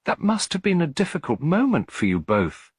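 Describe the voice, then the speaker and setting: A man voicing a gentle, shrewd elderly English lady detective in an audiobook, soft and politely probing. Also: a little high, wildly swooping pitch, normal speed, husky, dry recording, clean studio sound, voice pushed up, heavily acted. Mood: sympathetic.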